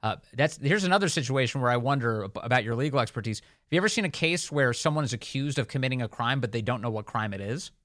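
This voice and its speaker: A man's voice: mid-range voice, lively intonation, fast talker, few pauses, a bit bright. A man hosting political talk radio, commenting conversationally with an incredulous, mocking edge.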